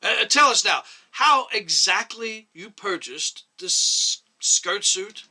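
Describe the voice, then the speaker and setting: A man acting out a stern male chairman in a dramatic story reading. He interrogates with exasperated emphasis, stretching key words. The voice is sharp and forceful, leaping widely in pitch. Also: expressive, slow pace, heavily acted. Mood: incredulous, scolding.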